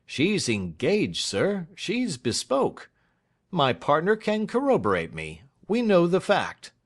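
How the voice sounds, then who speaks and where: A dry, shrewd old lawyer, as a man puts him on, pitched up high and bouncing over a wide range. He prods with sly questions and leans hard on his words, loud and deliberate. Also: huge pitch swings, full character voice. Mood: relieved. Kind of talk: audiobook character reading.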